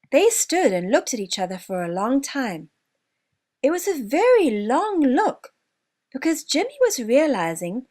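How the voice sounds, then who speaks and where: A woman reads a children's story in a high voice at a slow, measured pace. Her intonation swings up and down expressively, and she places deliberate emphasis on key words. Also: a little mellow. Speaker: author narrating own children's story. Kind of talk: scripted children's story narration.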